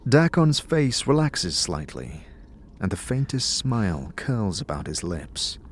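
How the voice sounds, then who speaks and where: Low-voiced man narrating with lively swings. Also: slow pace, slight background noise. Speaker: audio drama narrator. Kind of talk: dramatic second-person story narration.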